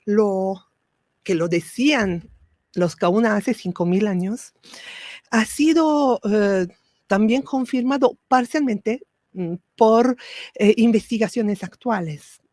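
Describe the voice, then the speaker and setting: A woman whose first language is Italian gives a spiritual teaching talk, slow and measured, with pauses and fillers. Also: mid-range voice, a little mellow, no room sound, studio quality.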